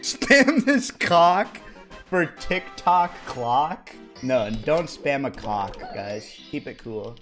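A man in his thirties, originally from India, chatting and joking with his stream chat while playing a speedrun at home, with sudden loud outbursts. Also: fairly high pitch, animated, drawn-out words, soft background music. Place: small home room, indoors.

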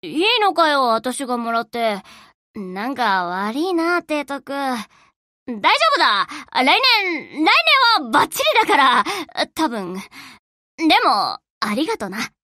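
A brash yet bashful tomboy from an anime game. The voice is high and bright, with lively intonation. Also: female voice, a little slow, no echo, clean studio sound, heavily acted. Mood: bashful, grateful. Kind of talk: Japanese anime game character voice lines.

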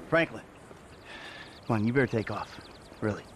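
An actor playing a weary, sarcastic middle-aged ex-robber in a video game cutscene, talking brusquely and swearing. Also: male voice, medium pitch. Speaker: game character. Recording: game audio with clear engine noise. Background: traffic and vehicle noise.

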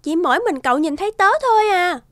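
A woman voicing a tiny cheerful girl fairy in an animated cartoon, childlike, exuberant and a little wistful. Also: very high voice, quick pace, no echo. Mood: joyful.

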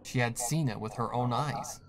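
A man's voice: mid-range voice, normal speed, a crowd in the background. A man reading a scripted horror story as the narrator. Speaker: fan fiction narrator.

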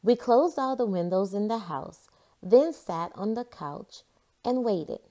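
A woman giving an expressive read-aloud narration of a children's storybook. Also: medium pitch, takes it slowly. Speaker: storybook reader.

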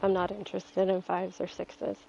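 A woman with a stuffy voice from a cold, calmly explaining her training as she rides in an outdoor arena. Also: fairly low pitch, moderate pace, creaky, slight background noise. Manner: calm, coaching, talks while riding. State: stuffy, getting over a cold. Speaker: dressage rider and trainer. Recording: dull lo-fi outdoor sound. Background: faint outdoor ambience and horse.

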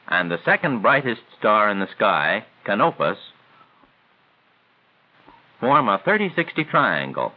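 A man reads scripted 1940s training film narration in a measured, formal, instructional way. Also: fairly low pitch. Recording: tinny band-limited 1940s film soundtrack.

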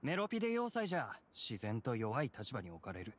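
Lively, earnest male inmate. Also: medium pitch, fairly quick. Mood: earnest, friendly. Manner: plain-spoken, explanatory. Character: friendly, earnest male inmate in a mutual aid group. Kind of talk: anime game voice acting.

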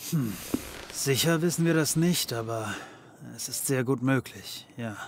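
An actor playing a cocky, scheming occult detective in a comedy audio drama, wry and theatrical. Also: male voice, mid-range voice, lively intonation, measured pace, slight background noise, natural voice. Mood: grave, urgent. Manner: wry, theatrical, mock-patronising.

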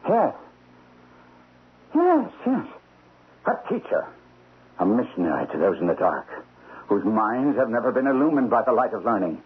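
Shifty stockbroker, very husky, swooping intonation, agitated, old radio drama, thin sound. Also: male voice, mid-range voice, huge pitch swings, normal speed, pauses often, phone-quality sound, exaggerated voice. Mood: false eagerness. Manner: hesitant, agitated. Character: weak-willed, panicky crooked stockbroker, male.

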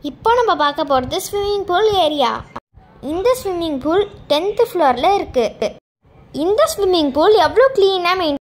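Female vlog narrator, extremely high voice, scripted tour-guide delivery. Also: lively intonation, normal speed, a little hiss or hum. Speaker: vlogger narrator.

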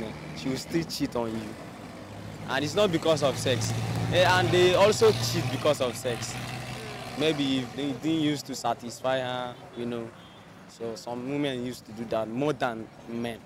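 A young Nigerian man in a street interview, animated and hesitating with fillers. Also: mid-range voice, moderate pace. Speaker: passerby interviewee. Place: busy city roadside outdoors. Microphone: handheld mic held by interviewer. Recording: outdoor street with traffic noise.

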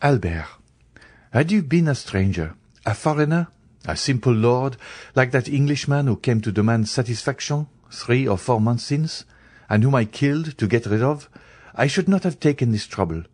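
Audiobook role: earnest young journalist , read by a man, grave and compassionate, formal, deliberate phrasing, loyal friend. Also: mid-range voice, lively intonation, darkish tone, breathy edge, no room sound. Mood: earnest, insistent. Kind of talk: dramatised audiobook reading.

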